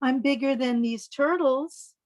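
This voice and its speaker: A big, boastful giant squid from a children's storybook, as played by a woman reading aloud. It brags in slow, drawn-out statements, pitched fairly high. The sound is close and dry. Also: no room sound. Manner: slow, bragging delivery. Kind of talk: children's picture-book read-aloud.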